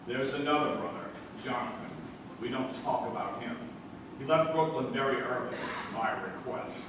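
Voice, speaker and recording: An actor playing a flustered, incredulous nephew in a live stage comedy, stammering and exasperated. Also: male voice, mid-range voice, normal speed, natural voice. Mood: dry, wry. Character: flustered, incredulous young nephew, theatre-critic type. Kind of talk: stage comedy radio-theatre acting. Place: live theatre, distant microphone. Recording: roomy, noisy stage recording from far off.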